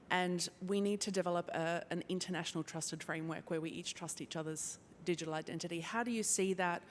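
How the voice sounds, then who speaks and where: A woman with an Australian accent, asking a question from the audience at a conference, earnest and a little halting. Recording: reverberant conference hall, faint rumble.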